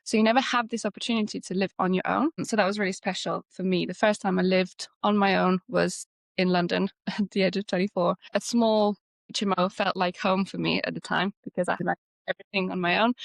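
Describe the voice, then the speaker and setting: A woman in her thirties from Slovenia, talking freely as an interview guest. Her voice has a gravelly, fry-touched edge, and she rambles along with restarts. It sounds dry and close, with no room around it. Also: mid-range voice, fairly even intonation, fairly quick, no echo. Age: 30s. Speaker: architect, guest. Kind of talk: conversational interview.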